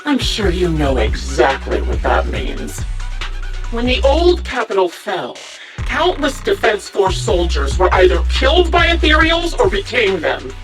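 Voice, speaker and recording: A woman's voice: medium pitch, very animated, unhurried, far from the mic, music in the background. A woman voicing a sadistic female sniper villain in a video game, taunting theatrically with sing-song mock politeness. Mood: condescending, cruel. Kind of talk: anime-style video game voice acting.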